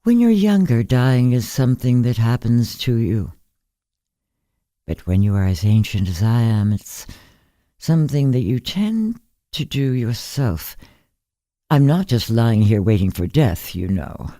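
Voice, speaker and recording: A woman reading the part of an ancient, frail, blind woman in an audiobook story, measured, polite and composed. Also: very deep voice, sing-song, mellow, no room sound, studio quality, full character voice. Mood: calm, resolute.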